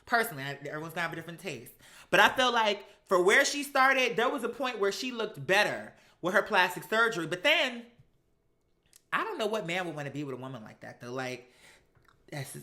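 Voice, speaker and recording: A woman doing casual gossip commentary on reality TV, sassy and animated, with slangy, profane talk and mocking quotes. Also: lowish voice, brisk. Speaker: host of a live commentary show.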